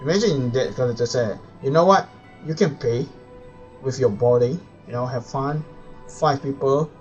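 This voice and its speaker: A man's voice: mid-range voice, moderate pace, a little mellow, highs cut off. A man live-streaming a game, commenting in an offhand, joking, casual way. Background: game music.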